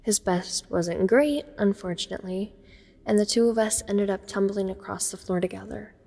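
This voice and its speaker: A woman's voice: mid-range voice, moderate pace, creaky, warm tone. A woman playing a teenage girl who narrates her horror ordeal in the first person, observant and uneasy. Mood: wry, fond. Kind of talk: first-person dramatic audio story narration.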